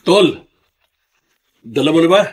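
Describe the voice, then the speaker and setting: Undercover policeman: high-pitched, commanding, taunting, confrontational radio drama delivery. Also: animated, slow, breathy edge, heavily acted. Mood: guarded. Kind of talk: Filipino radio drama acting.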